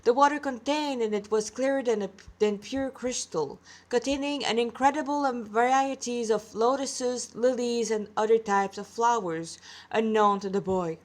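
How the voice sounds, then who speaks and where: A woman's voice: on the high side, normal speed. A woman reading her own story aloud as narrator, with casual explanatory asides.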